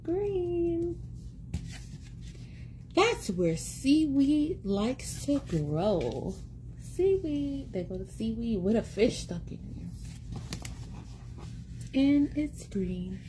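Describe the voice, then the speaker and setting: A woman in her thirties reading a picture book aloud to young children in an expressive, sing-song, encouraging way, repeating words for them. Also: high voice, very slow, long pauses, slight background noise, low-quality recording. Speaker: children's read-aloud host and teacher. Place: small indoor room at home. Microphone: phone or tablet at arm's length.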